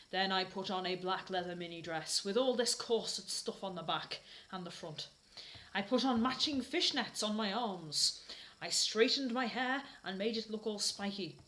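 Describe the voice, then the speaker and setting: A woman's voice: fairly high pitch, a bit bright, rough recording. British woman giving a dramatic reading. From Britain. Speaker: host reading fanfiction aloud.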